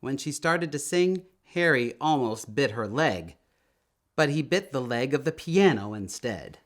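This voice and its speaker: A man reading a children's story aloud in an expressive, emphatic storytelling manner, drawing out words. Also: mid-range voice, measured pace. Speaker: storytime reader.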